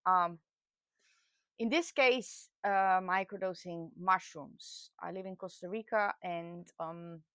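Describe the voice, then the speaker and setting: A woman in her late forties from the Netherlands with a Dutch accent, telling her own experience casually and candidly, often pausing to search for words. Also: lowish voice, a bit bright, no room sound, studio quality. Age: late 40s. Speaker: vlogger sharing personal experience. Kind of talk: casual personal talk to camera.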